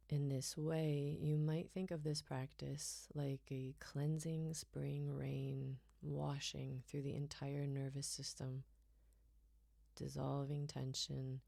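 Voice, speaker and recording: A woman guiding a relaxation meditation in a soft, soothing, unhurried way, her phrases often trailing off breathily toward a whisper. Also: deep voice, creaky, no echo, clean studio sound. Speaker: yoga nidra guide.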